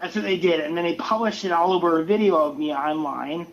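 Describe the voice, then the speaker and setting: A man giving an opinionated, casual rant on a livestream, halting and often repeating his words. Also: a little high. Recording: muffled lo-fi audio with noise.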